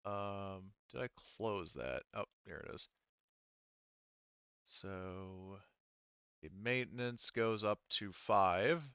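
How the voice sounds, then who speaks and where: A man casually running a tabletop roleplaying session as game master, halting and thinking aloud as he goes. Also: lowish voice, pushed and bright, clear, resonant tone, dry recording, dull audio.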